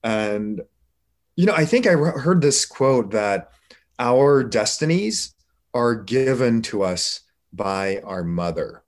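A man's voice: mid-range voice, expressive, slow. A middle-aged man, an interview guest, telling his personal story in an informal, reflective, conversational way. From Korea, moved to the United States.

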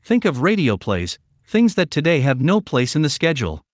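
Male interview guest, slightly high voice, very lively intonation, formal explanatory answers. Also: moderate pace, no room sound, clean studio sound. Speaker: interview guest, media analytics company representative.

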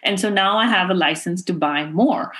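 A woman's voice: on the low side, moderate pace, a bit bright. Earnest middle-aged woman from Pakistan. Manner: earnest, passionate, many "you know" fillers. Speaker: guest, fashion activist. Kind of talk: interview answers.

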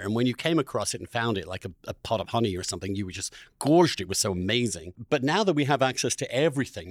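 A man with a fairly high-pitched to medium voice hosts an interview podcast. He is articulate and emphatic, building long, discursive thoughts in a conversational way. The sound is dry and close, with no room on it. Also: dry recording. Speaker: podcast host. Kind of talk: conversational interview with spoken introduction.

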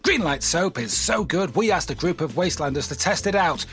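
A man's voice: a little high, expressive, fairly quick, fairly bright tone. A man playing a cheesy, grandiose post-apocalyptic salesman in a parody radio advertisement, with theatrical delivery. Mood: showy pitch. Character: cheesy, showy male wasteland radio pitchman. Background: music.

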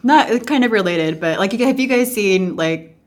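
A woman with a mid-pitched voice talks casually as a podcast co-host among colleagues. Her manner is chatty and giggly, with loose, informal phrasing. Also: animated, unhurried, noisy background. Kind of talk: casual group chat.

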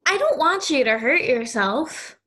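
A woman's voice: high voice, expressive, a little slow, sharp tone, full character voice. A woman playing a lonely, stubborn ten-year-old girl in an audio play, speaking in an earnest, insistent, childlike way. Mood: excited, possessive.

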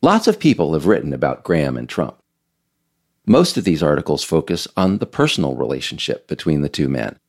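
A man hosting a podcast series, reading scripted narration in a measured, emphatic, explanatory way. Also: a bass voice, sing-song, a little mellow. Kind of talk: scripted narration, audio essay read aloud.

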